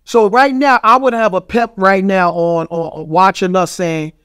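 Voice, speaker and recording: Middle aged new york guy, high pitched voice, telling street stories on a podcast, lots of slang and cussing, dry studio sound. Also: fairly even intonation, moderate pace, fairly bright tone, clean tone, no echo. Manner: emphatic, street slang, heavy swearing, frequent "you know" tags. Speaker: podcast guest. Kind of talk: casual storytelling in a podcast interview. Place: small studio with foam-padded wall. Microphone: studio microphone on boom arm.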